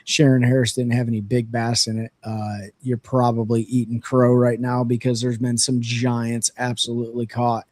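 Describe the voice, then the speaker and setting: A man with a hoarse, worn-out voice chats casually on a fishing livestream, energetic and rambling. His pitch sits in a plain middle range. The sound is close and dry, with no room echo. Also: moderate pace, few pauses. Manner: energetic, rambling, filler-heavy. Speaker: fishing show co-host. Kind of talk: casual livestream chat with sponsor reads. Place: region of central North Carolina.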